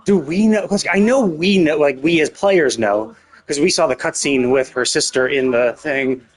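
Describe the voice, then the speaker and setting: A man's voice: on the high side, moderate pace. A man giving casual, speculative game commentary as a live streamer, thinking aloud and often rephrasing himself.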